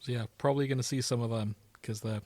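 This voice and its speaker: Male podcast host, medium pitch, informal and joking, very little room sound. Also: fairly even intonation, fast, darkish tone, no room sound. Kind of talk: casual chat.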